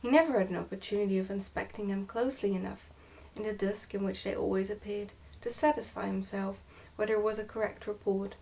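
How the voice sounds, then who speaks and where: A woman reading a children's story aloud in ASMR style, soft-spoken and sometimes near a whisper. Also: medium pitch, fairly even intonation, brisk, vocal fry, hardly any pauses, distant mic, poor audio. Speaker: ASMR book reader.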